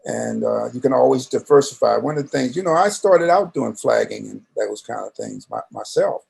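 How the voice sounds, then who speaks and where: Older man, heavy vocal fry, medium pitch, folksy, halting, casual conversation. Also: lively intonation, a little mellow.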